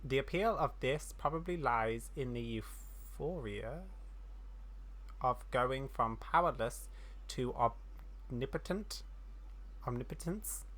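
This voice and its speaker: Game streamer, mid-range voice: casual commentary, reads on-screen text haltingly, self-deprecating. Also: medium pitch, a bit bright, poor audio. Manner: stumbles while reading aloud, self-deprecating. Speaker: gaming streamer. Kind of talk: casual game playthrough commentary and reading dialogue aloud.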